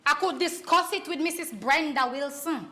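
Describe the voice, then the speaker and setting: A brazen, determined Jamaican mistress in a stage comedy. She speaks high and sharp, pressing her case insistently. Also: normal speed. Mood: defiant, scheming. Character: brazen, determined pregnant woman confronting her lover. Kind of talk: comedic stage play acting.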